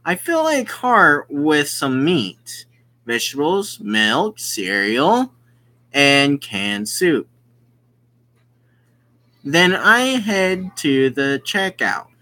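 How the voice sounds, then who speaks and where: A young man casually commenting on a visual novel and reading its text aloud, slangy and excitable. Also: fairly high pitch, drawn-out words. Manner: slangy, excitable, exclaims often. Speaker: let's play gamer.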